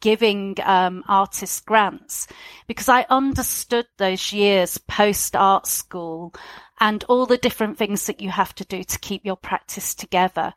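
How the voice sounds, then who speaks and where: A woman's voice: medium pitch, measured pace. A middle-aged woman answers an interview question about her career in the arts. She speaks reflectively, with plenty of ums and uhs as she gathers her thoughts. The recording is dry and close, with no room echo. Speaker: arts organisation chief executive.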